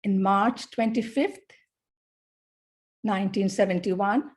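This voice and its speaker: This is a middle-aged woman from Bangladesh delivering an academic conference talk. Her delivery is unhurried and measured, broken into short phrases with pauses in between. Her voice sits at an ordinary middle pitch. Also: a little mellow. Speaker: academic speaker.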